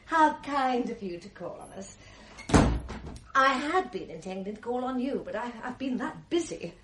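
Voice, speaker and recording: A woman's voice: a little high, expressive, moderate pace, a bit bright, a little hiss or hum. An actress playing a genteel, affected middle-aged English mother in a radio play, gushing and over-polite. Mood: gushing, gracious. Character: highly strung, affected, genteel mother playing gracious hostess.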